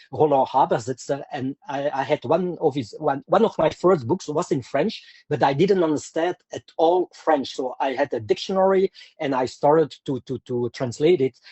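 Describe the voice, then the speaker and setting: A man's voice: medium pitch, darkish tone, dry recording. A man from Belgium with a Flemish accent, a martial arts instructor speaking in an interview, hesitant and full of fillers and restarts. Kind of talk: conversational interview.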